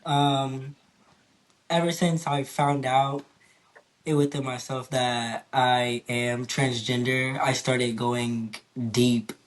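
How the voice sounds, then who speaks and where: A young man talking candidly in a casual podcast chat, open and earnest, his voice a little hoarse. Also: mid-range voice. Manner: earnest, open, rambling with fillers. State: slightly hoarse. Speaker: podcast guest.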